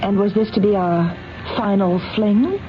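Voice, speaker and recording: An actress playing a ruthless, possessive wealthy mistress in a 1970s radio drama, with imperious, precise diction. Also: female voice, medium pitch, a little slow, lightly acted. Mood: mocking, possessive. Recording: dull old radio recording, music behind.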